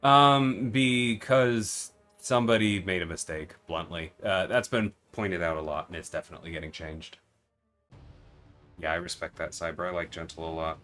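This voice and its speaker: A man's voice: low-pitched, fairly even intonation, normal speed, a bit bright, faint music behind. A man hosting a casual livestream, explaining game mechanics and answering viewers while he plays. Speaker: livestream host and game designer. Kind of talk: casual livestream chat and gameplay commentary.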